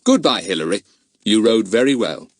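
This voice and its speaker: A man voicing a kindly but brisk police inspector who speaks in short, clipped phrases. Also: on the low side, very animated, no room sound, clean studio sound.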